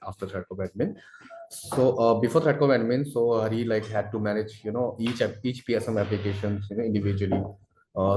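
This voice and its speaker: A man presenting a product demo in a webinar, speaking haltingly with frequent "you know" fillers. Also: lowish voice, darkish tone, a little hiss or hum. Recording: roomy, echoing sound.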